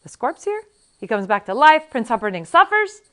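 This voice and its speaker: A woman's voice: on the high side, big pitch swings, moderate pace, fairly bright tone, voice pushed up. A woman voicing a proud, hot-tempered male swordsman who speaks urgently and indignantly.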